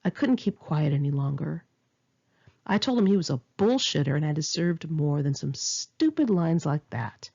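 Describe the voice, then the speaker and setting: A woman's voice: sits low, expressive, moderate pace, creaky, a little mellow, husky, muffled recording. A woman narrating her own first-person memoir like an audiobook, with dramatic, sarcastic and indignant storytelling. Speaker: author reading own memoir aloud.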